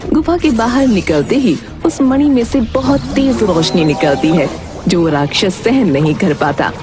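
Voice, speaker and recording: A woman giving scripted narration for an animated children's fairy tale. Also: mid-range voice, unhurried, over music.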